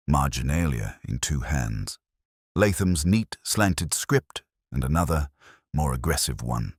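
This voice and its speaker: Very deep-voiced man narrating a mystery. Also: no echo, studio quality. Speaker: narrator of a detective story. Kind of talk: first-person scripted literary mystery narration.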